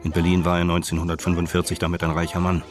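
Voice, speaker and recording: An actor as the detached narrator of a radio crime drama, telling it in a measured, matter-of-fact way. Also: male voice, low voice, completely flat delivery, faint music behind, lightly acted. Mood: detached narration. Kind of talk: scripted crime story narration.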